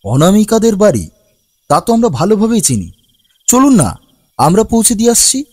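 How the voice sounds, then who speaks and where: A sly young thug with a husky, mellow voice that jumps high and swings widely. He sounds falsely polite and pushy, and the recording is dry and close. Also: huge pitch swings, moderate pace, dry recording, full character voice. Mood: falsely friendly. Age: young adult. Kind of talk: animated ghost story voice acting.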